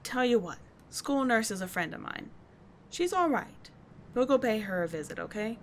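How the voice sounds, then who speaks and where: A woman's voice: fairly high pitch, big pitch swings, normal speed, slight background noise. A woman playing a tough but caring teenage delinquent schoolgirl in an ASMR roleplay, speaking in a slangy, blunt yet soothing way. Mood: casual, bossy, caring. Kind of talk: ASMR roleplay audio drama.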